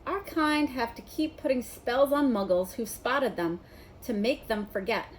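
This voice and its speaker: A woman voicing a blunt, excitable know-it-all schoolboy in a storybook, speaking emphatically. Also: a little high, measured pace, slight background noise, close to an everyday voice, low-quality recording. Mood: emphatic.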